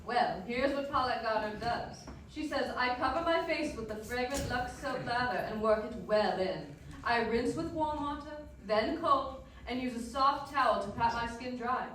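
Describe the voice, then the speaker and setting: A woman performs as a fashion-loving radio columnist of the 1940s. The voice sits a little high, with chatty, scripted salesmanship. It sounds far from the mic in a very reverberant hall with faint background noise. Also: normal speed, a bit bright, lightly acted. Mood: chatty, enthusiastic. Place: live stage performance in a dance hall.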